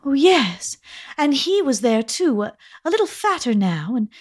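An actress playing a wry, anxious young widow in a radio play, hesitant at times and drily witty. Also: female voice, sits high, big pitch swings, measured pace, darkish tone. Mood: unsettled. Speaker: actor in audio drama.

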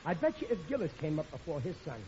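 A blustery, put-upon working-class American dad in a vintage radio comedy. His high-pitched voice swings wildly as he grumbles and exclaims. The old recording is muffled and noisy, with an audience behind him. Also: wildly swooping pitch, quick pace, mellow. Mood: sarcastic, grumbling. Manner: exclaiming, grumbling, colloquial bad grammar. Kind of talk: 1940s radio sitcom acting.

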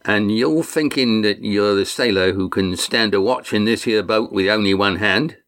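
A man's voice: on the low side, moderate pace, fairly bright tone. A male narrator voicing a proud, injured sailing master who talks in clipped, curt replies. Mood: terse.

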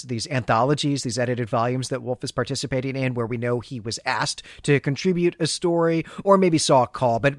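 A man's voice: medium pitch, dry recording, studio quality. A man hosting a literary podcast, discussing and recapping fiction in discursive, scholarly phrasing with colloquial asides. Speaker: podcast host.

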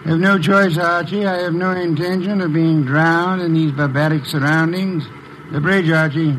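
An actor playing an imperious, irritable, erudite male detective in an old-time radio mystery, speaking in a formal, grumbling manner with a gravelly, husky voice. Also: on the high side, steady tone, moderate pace, fairly bright tone, slight background noise, lightly acted, low-quality recording.

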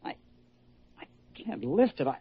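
An actor playing a troubled, fearful young patient, halting and plaintive, in a classic radio drama. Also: male voice, on the high side, sing-song, slight background noise. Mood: pained, defensive. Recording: muffled old radio recording.

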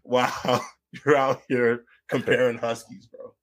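A man's voice: medium pitch, level tone, measured pace, fairly bright tone. A man co-hosting a podcast, bantering playfully in loose slang. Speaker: podcast co-host. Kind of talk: casual chat.

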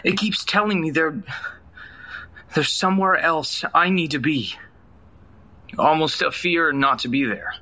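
Troubled young husband, tense bright voice, hesitant n evasive, audio drama. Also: male voice, on the high side. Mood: troubled, pleading. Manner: halting, hesitant, searching for words. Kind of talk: naturalistic audio drama acting.

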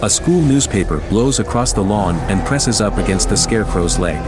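A man narrating a scripted audio drama in a measured, descriptive, steady way. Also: on the low side, lightly acted.

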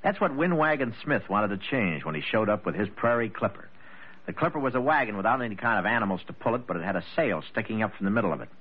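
Folksy American radio orator, muffled and low. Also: male voice, lively intonation, fast, breathy edge. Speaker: radio speaker giving a short address. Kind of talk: scripted 1940s radio storytelling address. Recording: muffled vintage radio broadcast.

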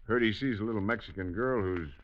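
Deep-voiced sly persuader played by a man. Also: close to an everyday voice. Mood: coaxing. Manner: coaxing, deliberate, leading questions. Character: persuasive, sly male authority recruiting a sheriff. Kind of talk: old-time radio western acting. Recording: muffled old radio recording.

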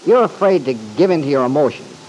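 Earnest young man played by an actor, very animated. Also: a little high, fairly quick, lightly acted, rough recording. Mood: teasing, challenging. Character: easygoing, thoughtful young man, gently teasing. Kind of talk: old-time radio soap opera acting. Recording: hissy vintage radio recording.

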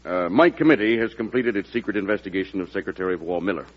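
A blunt congressman in vintage radio drama. His voice is low and quick, formal and challenging. The recording is muffled. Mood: formal, grave. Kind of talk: classic radio drama acting.

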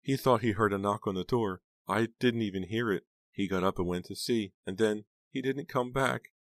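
A man's voice: fairly low pitch, unhurried, no echo, voice pushed up, lightly acted. A man voicing a frail older aunt in a storybook, newly widowed, tearful and rambling in her grief. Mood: shaken, grieving. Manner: tearful, rambling, repeating herself.

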